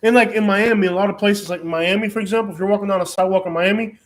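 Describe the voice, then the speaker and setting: A man's voice: high voice, fairly even intonation, racing, slight background noise. A man from Cuba, hosting a casual live chat and rambling with frequent restarts and fillers. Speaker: host.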